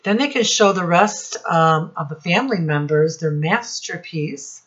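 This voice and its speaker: An American woman with a fairly low voice leads a storytime lesson for small children. She speaks very slowly and instructively, putting questions straight to her listeners. The recording is a little muffled and lo-fi. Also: expressive. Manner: instructive, asks questions, addresses listeners directly. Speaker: children's teacher and read-aloud host. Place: home office classroom.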